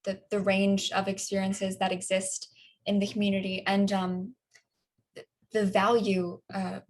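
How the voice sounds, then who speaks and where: A woman playing a caring figure with halting, hesitant phrasing in a conversational documentary theater monologue. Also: medium pitch, monotone, a little mellow, close to an everyday voice.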